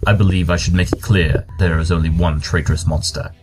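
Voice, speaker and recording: A man's voice: low voice, steady tone, fairly quick, background noise, close to an everyday voice. A man voicing a brilliant, smug pony detective in an audio drama, pompous and self-assured as he explains. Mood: smugly confident.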